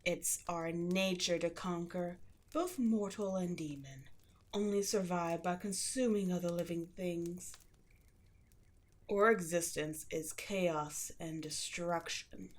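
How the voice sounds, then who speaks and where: Woman acting as a demon queen, slow theatrical delivery, seductive, imperious, menacing, breathy whispered touches, audio roleplay monologue. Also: fairly low pitch. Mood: haughty, persuasive.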